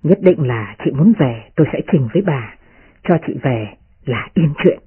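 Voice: medium pitch, lively intonation, moderate pace, breathy edge, narrow, tinny audio, voice pushed down. A performer voicing an impulsive young master of the household, petulant and insistent, in a storybook reading. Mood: exasperated.

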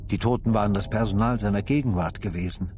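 An actor playing a sober narrator of a detective story in a literary radio drama. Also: male voice, fairly low pitch, fast talker, noisy background, close to an everyday voice. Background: music.

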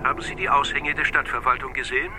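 A man's voice: mid-range voice, fairly even intonation, fast talker, music in the background, lightly acted. A man acting an exasperated, opinionated physician in a radio drama, argumentative and emphatic. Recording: faint background noise.

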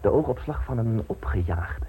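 An actor playing a pompous, broke, out-of-work stage actor in a radio drama, speaking in a theatrical, grandiloquent manner. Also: male voice, lowish voice, lively intonation, moderate pace, phone-quality sound, a little hiss or hum, natural voice.

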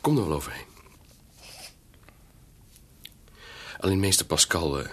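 An actor playing a sincere, tender suitor in a Dutch radio drama, husky and breathy, halting and repeating his words. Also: deep voice, big pitch swings, fairly bright tone, a little hiss or hum. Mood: resigned, hurt.

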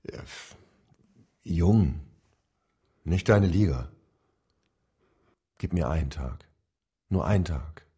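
An actor in a radio drama playing a cynical middle-aged industrialist father, husky, offhand and dismissive. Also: deep voice, lively intonation, slow, long pauses, mellow, heavily acted. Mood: curt.

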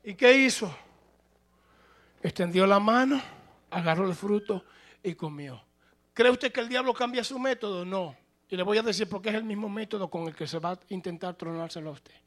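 A male pastor preaching a sermon, moving between plain conversational talk and loud, shouted passages. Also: high voice, normal speed.

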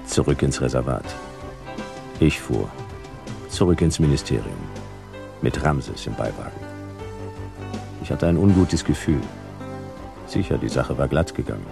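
An actor playing a laconic, hardboiled private detective, gravelly and deadpan, in clipped radio drama narration and dialogue. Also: male voice, extremely low pitch, measured pace, pauses often, full character voice. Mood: brooding, laconic. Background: music.

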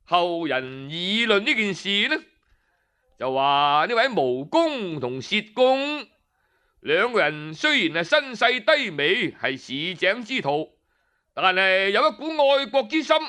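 A man's voice: high voice, sing-song, sharp tone, smooth. A man with a Cantonese accent telling a historical tale in a theatrical, emphatic storytelling style. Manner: theatrical, emphatic, deliberate phrasing. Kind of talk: traditional Cantonese storytelling, dramatic narration.

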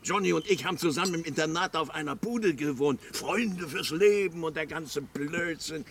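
An actor playing a blustering, tactless upper-class school chum in a German radio drama, gushing and chatty with old-fashioned gallantry. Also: male voice, on the high side, normal speed, crowd noise. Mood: effusive, gallant. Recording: clear background noise.

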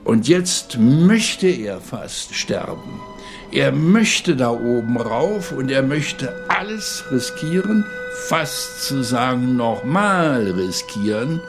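A man's voice: medium pitch, big pitch swings, slow pace. A man commenting in a radio feature, telling a life story dramatically in the present tense. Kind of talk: spoken commentary telling a biography. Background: music.

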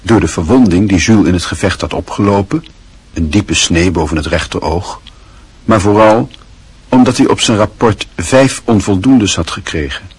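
A man narrating a Dutch radio play in a measured, literary storytelling manner. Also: low-pitched, husky.

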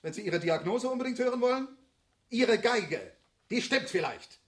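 An actor in a radio play voicing an indignant, defiant amateur cellist and doctor who argues in a huffy, sarcastic manner. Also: male voice, very high-pitched, expressive, moderate pace. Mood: defiant, sneering. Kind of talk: German radio play dialogue.

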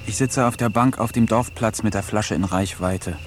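A man's voice: fairly low pitch, normal speed, slight background noise, natural voice. Wry musing village oddball, a man narrating. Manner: wry, musing first-person storytelling. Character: eccentric village man who talks to animals. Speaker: narrator and lead character. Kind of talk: German radio play narration.

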